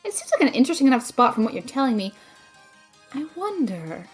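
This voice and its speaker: A woman's voice: high-pitched, sing-song, brisk, soft background music. A woman playing a witty, brainy magical sidekick, dry, teasing and precise, in a fantasy audio drama.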